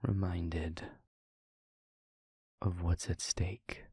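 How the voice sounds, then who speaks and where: A man playing a weary, brooding but protective demon in an intimate audio roleplay, speaking in a husky half-whisper with halting, formal phrasing. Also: low voice, flat delivery, dark tone, no echo, studio quality, full character voice. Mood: reluctant, somber. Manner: slow, halting, formal phrasing with heavy pauses. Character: weary, brooding male demon, gravely guarded yet protective.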